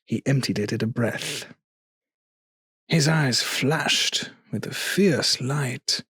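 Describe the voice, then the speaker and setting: Slow, husky male storyteller. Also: mid-range voice, fairly bright tone, no room sound, studio quality. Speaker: narrator. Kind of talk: dramatic gothic story narration.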